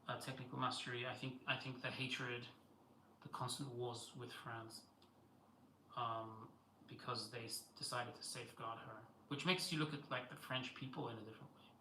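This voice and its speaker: A man's voice: medium pitch, sharp tone, reverberant, slight background noise, poor audio. A man hosting a live stream, talking in a rambling way with many fillers and restarts and greeting viewers as he goes. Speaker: livestream host. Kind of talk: casual live-stream monologue.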